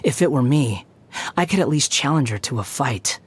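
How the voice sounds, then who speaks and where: An actor playing a haughty, sardonic young male puppet wanderer in a game, speaking in a measured, disdainful, theatrical way with a bitter streak. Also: mid-range voice, expressive, breathy edge. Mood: sly warning. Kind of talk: scripted game character voice lines.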